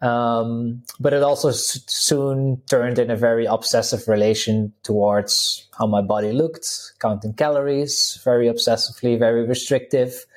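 A man in his thirties from the Netherlands with a Dutch accent, a guest talking casually in an interview, full of fillers and restarts. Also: medium pitch. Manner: chatty, many fillers and restarts, laughs easily. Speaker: guest, vegan health coach.

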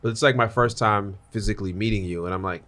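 Male podcast host, somewhat low voice, loose energetic interviewer. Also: fast talker. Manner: loose, excited, lots of fillers.

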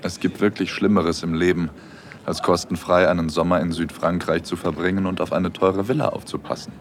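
An actor playing a dreamy, bashful young man, narrating an intimate audio drama in the first person in a soft-spoken way. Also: low voice, steady tone, a little fast. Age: young adult.

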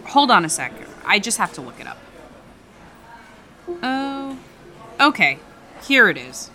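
A woman's voice: medium pitch, very animated, a little slow, pushed and bright, exaggerated voice. An actress playing a brash, flippant young woman, a hired thief, in an audio drama with a sarcastic, casual manner. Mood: casual, grudging. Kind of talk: naturalistic audio drama dialogue.

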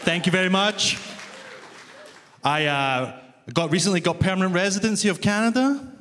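A man's voice: sits high, normal speed. A Scottish man from Glasgow with a Glaswegian accent doing a wry, self-mocking stand-up set in a big concert hall, checking in with the crowd. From Scotland. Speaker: stand-up comedian. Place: large concert hall stage, audience laughing. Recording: live hall reverb, faint room noise.

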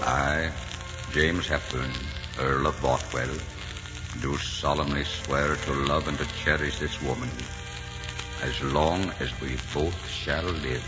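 Old radio romance: deep, theatrical nobleman lover, ardent, solemn, resolute, on a dull period recording. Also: steady tone, slow. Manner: theatrical, tender, solemn phrasing. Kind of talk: 1940s radio romantic drama acting. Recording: muffled 1940s radio recording with music.

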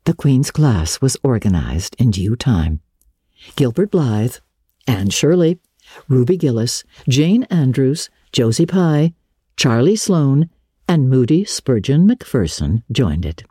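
A woman's voice: extremely low pitch, sing-song, slow, dark tone, breathy edge, no room sound, clean studio sound. A woman narrator giving a dramatic, scripted reading of classic literature.

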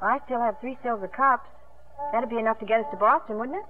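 A woman's voice: on the high side, fast talker, close to an everyday voice. An actress playing a spirited, defiant young woman swimmer in emphatic 1950s radio drama style. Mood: earnest, hopeful. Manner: emphatic, indignant. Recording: muffled, band-limited 1950s radio recording.

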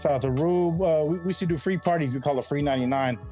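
A man's voice: fairly high pitch, fast talker, warm tone, soft background music. A middle-aged man talking as a podcast guest, laid-back and rambling, full of slang and "you know what I mean". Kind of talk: casual interview chat.

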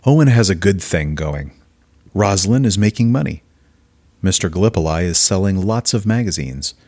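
A man's voice: sits low, lively intonation, moderate pace, darkish tone, a little hiss or hum. An actor as a solemn male storyteller, reading scripted narration for an audio play. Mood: ominous.

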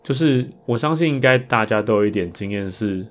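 A man's voice: on the low side, expressive, fairly quick. A man with a Taiwanese Mandarin accent talking casually and reflectively as he hosts a podcast about his creative work. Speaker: podcast host. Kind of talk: casual podcast monologue about improvised songs.

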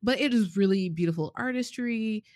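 A woman in her forties, a clinician, chatting casually in an animated, easy way. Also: medium pitch, dry recording, clean studio sound. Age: 40s. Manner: chatty, quick to laugh, animated. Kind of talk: casual chat.

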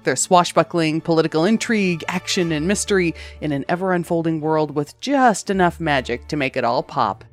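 A woman's voice: low-pitched, fairly quick, few pauses. A woman producer reading a scripted promotional introduction for a podcast episode. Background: background music.

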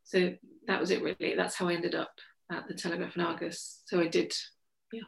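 Forthright woman, gravelly. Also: on the low side. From England. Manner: lively and forthright, quick anecdotal telling. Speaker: journalist, interview guest. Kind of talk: candid conversational storytelling. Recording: echoey room.

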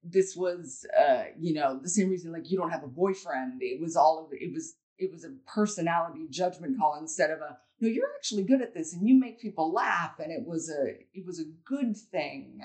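A woman with a deep voice, talking in a blunt, rambling way as a podcast guest, swearing freely. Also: animated, brisk, few pauses, darkish tone. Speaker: guest comedian. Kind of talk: casual podcast chat.

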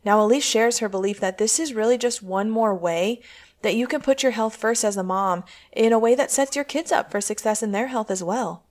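A woman's voice: fairly high pitch, normal speed, a little mellow. Encouraging woman podcast host. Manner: earnest, encouraging. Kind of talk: podcast intro and interview.

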